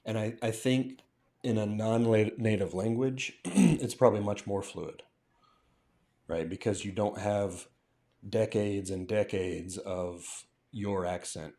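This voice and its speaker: A man's voice: lowish voice, slow pace, dark tone. An American man chatting casually as a language teacher on a livestream, thinking aloud and often checking in with a "right?". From southern United States. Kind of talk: casual live-stream discussion.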